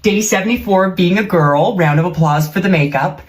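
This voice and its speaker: A woman's voice: fairly low pitch, brisk. A woman talking chattily and playfully into a social media vlog clip. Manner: chatty, playful, breaks into singing.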